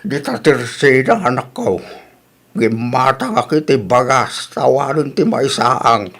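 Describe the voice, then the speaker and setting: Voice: medium pitch, expressive, a little slow, fairly bright tone. A performer with an Ilocano accent playing a grumbling, complaining old husband and father in a radio comedy drama, with exaggerated exclamations.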